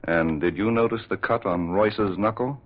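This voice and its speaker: A low, husky voice of a male actor as a former thief now helping a detective in a radio mystery. He is frank and wary, with respect for his companion, heard through a dull old broadcast recording. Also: moderate pace, natural voice. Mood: uneasy. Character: reformed thief turned sleuth, wary loyal companion. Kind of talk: radio drama acting.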